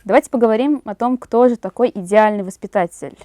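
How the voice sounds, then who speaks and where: A woman's voice: a little high, fast talker, background noise. A woman working as an interviewer, putting prepared questions to a guest.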